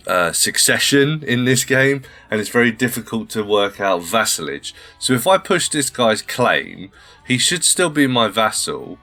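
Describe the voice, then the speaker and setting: A man's voice: medium pitch, very animated, a little slow, sharp tone, soft background music. A man hosting a strategy game playthrough, thinking aloud in a polite, chatty way while a little under the weather. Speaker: gaming channel host. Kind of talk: casual let's-play commentary.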